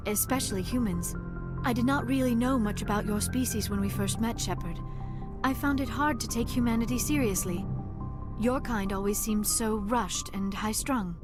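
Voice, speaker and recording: Woman doing gentle alien scientist lady from a sci-fi game, very formal n careful, earnest, music behind. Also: on the high side, normal speed, close to an everyday voice. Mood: soft, earnest. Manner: formal, careful phrasing without contractions. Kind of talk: video game dialogue acting.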